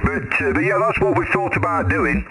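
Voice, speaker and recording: A man chatting casually and in a rambling way as an amateur radio operator over the air. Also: normal speed. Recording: faint radio hiss and clicks.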